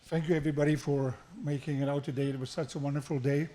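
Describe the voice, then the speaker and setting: Middle-aged South African man, slightly high voice, self-deprecating wedding speech with restarts. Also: little pitch movement, fast, mellow, a little hiss or hum. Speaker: father of the groom giving a wedding speech. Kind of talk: wedding toast. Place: wedding reception hall. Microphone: handheld microphone through the sound system.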